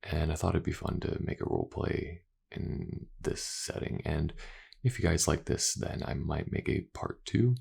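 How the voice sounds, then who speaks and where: A man talking casually and informally to his listeners in a low-key creator's outro. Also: animated, normal speed, vocal fry, a little mellow, husky. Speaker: ASMR voice actor, channel creator.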